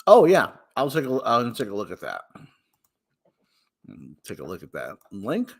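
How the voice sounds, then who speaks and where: A man's voice: normal speed, husky. A man hosting a casual live-stream podcast, chatting loosely before a reading. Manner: lively, chatty, talks baby talk to his cat in a high voice. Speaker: podcast host.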